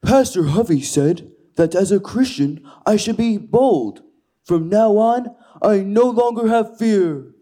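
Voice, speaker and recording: Man doing a high, mellow, foolhardy puppet daredevil. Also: animated, slow. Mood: grandly bold. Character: foolhardy male puppet playing a self-styled daredevil. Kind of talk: children's church puppet show.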